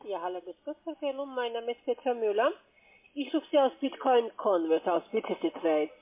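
A woman's voice: fairly high pitch, animated, brisk. A woman who is not a native German speaker, making an insistent call-centre sales call in broken grammar over the telephone. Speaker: scam call centre agent. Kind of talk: cold-call sales pitch. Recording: muffled narrowband phone line.

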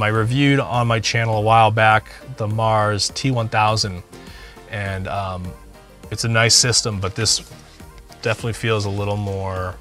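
A man in his forties talks in a fairly low voice. He explains equipment conversationally, like a host reviewing gear for viewers. Faint music sits behind him. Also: bright, forceful tone. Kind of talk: casual gear review to camera. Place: home music studio room.

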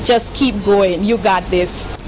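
A twenty-two-year-old woman, answering interview questions heartfelt and unhurried into a handheld mic. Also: medium pitch, soft background music, poor audio. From Guyana. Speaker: aspiring chemist, interviewee.

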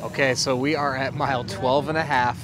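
A man's voice: mid-range voice, steady tone, normal speed, over music. A man hosting an outdoor vlog, chatting casually and asking people questions. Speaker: host and interviewer. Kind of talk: casual vlog chat and interviewing. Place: outdoors at a rest stop by the beach. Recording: clear outdoor urban and vehicle noise.

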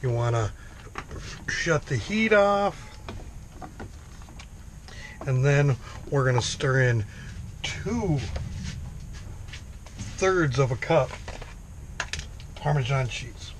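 A man hosting a home cooking show, explaining each step in a relaxed, halting way with many fillers. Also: medium pitch, slight background noise. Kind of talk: casual cooking demonstration. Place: home kitchen, indoors.

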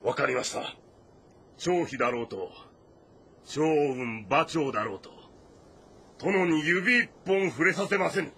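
A man's voice: fairly high pitch, expressive, measured pace, pauses often, pushed and bright, full character voice. A fiercely loyal male warrior in a historical game drama. He addresses his lord with formal deference, over faint ambient noise. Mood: resolute. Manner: formal, deferential military speech.

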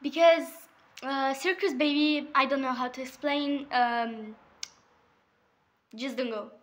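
A woman's voice: high-pitched, fairly even intonation, slow. A young woman giving casual, emotional reaction commentary in a hesitant, tender way. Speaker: reaction video maker.

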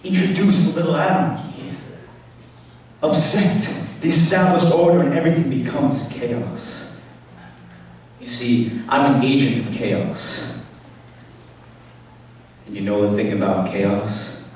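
A young man performing a sinister, taunting, unhinged clown villain in a theatrical stage monologue, with menacing dramatic pauses and sudden swells. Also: mid-range voice, a little mellow, natural voice. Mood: agitated, taunting. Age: teenager or young adult. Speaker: talent show performer. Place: school auditorium stage. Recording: echoey hall from far off, faint noise.

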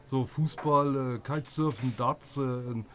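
A man acting a sporty, laid-back single bloke in an audio drama, speaking haltingly with casual fillers and tag questions. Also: mid-range voice, a little hiss or hum, close to an everyday voice. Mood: eager, casual. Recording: lively crowd chatter behind. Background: crowd chatter.

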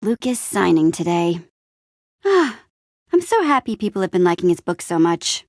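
A woman playing an upbeat young adventurer and town hero in a video game, friendly, casual, expressive and quippy. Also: on the low side, normal speed, dry recording, clean studio sound. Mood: cheerful, friendly. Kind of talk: video game character acting.

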